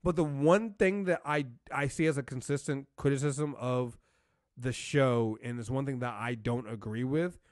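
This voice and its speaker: A man's voice: mid-range voice, normal speed, a little mellow, no room sound, clean studio sound. A man hosting a podcast, chatting casually and giving opinions emphatically, often circling back to repeat his phrases. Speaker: podcast host reviewing a TV show.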